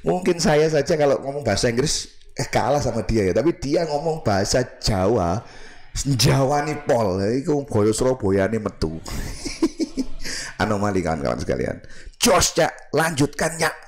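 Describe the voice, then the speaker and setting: A man's voice: mid-range voice, very animated, moderate pace. A man hosting a casual commentary, chatty with laughing asides. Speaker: commentary host.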